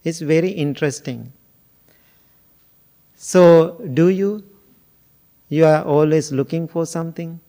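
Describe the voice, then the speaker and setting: Slow-speaking monk from Sri Lanka. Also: male voice, a little high, mellow. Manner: slow, musing, jokes and asks the audience questions. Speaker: Buddhist monk teacher. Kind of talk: informal dharma talk to a group.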